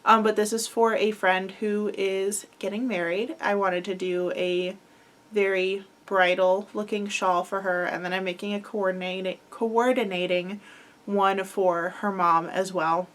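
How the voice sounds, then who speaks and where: A woman's voice: medium pitch, little pitch movement, measured pace. Chatty woman with a head cold. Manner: chatty, rambling, filler-heavy with frequent likes and ums. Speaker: crochet podcast host. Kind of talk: casual chat.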